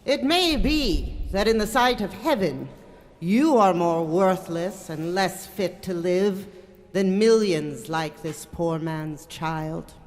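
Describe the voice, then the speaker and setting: An actor as a slow, reverberant, solemn spirit. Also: medium pitch, lightly acted. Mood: solemn rebuke. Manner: grave, deliberate, declamatory. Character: stern solemn ghostly spirit, rebuking. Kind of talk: live radio drama acting. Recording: long hall reverb, faint music behind.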